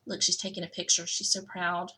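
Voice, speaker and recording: Bright-voiced woman reading a picture book. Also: on the low side, little pitch movement, brisk, low-quality recording. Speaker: school technology coordinator reading to children. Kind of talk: expressive children's picture-book read-aloud.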